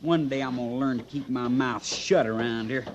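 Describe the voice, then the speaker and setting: An actor playing a whining, work-shy cavalry trooper in an old radio Western, complaining in a folksy American drawl. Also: male voice, mid-range voice, moderate pace, highs cut off, natural voice. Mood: grudging. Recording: old radio recording, light noise.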